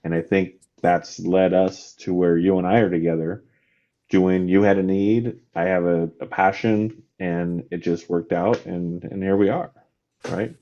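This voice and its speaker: A man's voice: low voice, fairly even intonation, a little slow, dark tone, lo-fi sound. A man hosting a casual podcast, upbeat and encouraging, speaking directly to his audience. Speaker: podcast host.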